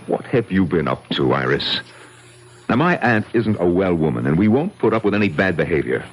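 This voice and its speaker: An actor playing a stern, sardonic, skeptical nephew in a 1970s radio drama. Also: male voice, deep voice, sing-song, a little fast, slightly rough, full character voice.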